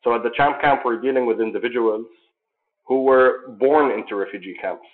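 A man from Jordan, founder of a sports program, answering interview questions in an earnest, measured way with many fillers. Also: mid-range voice. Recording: tinny phone-like line.

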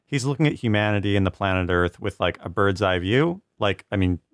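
Man, podcast co-host, relaxed, lots of fillers, somewhat low pitch. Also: fairly quick, no room sound, clean studio sound. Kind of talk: casual podcast discussion.

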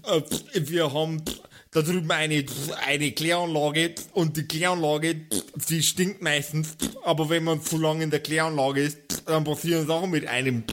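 A man voicing an odd local fellow for a comedy game podcast, halting, rambling and deadpan. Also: on the high side, lightly acted.

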